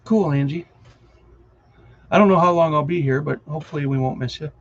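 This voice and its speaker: A man's voice: fairly high pitch, fairly quick, dull audio. A middle-aged man with a Wisconsin accent, chatting casually and rambling on a live stream, often distracted and chuckling.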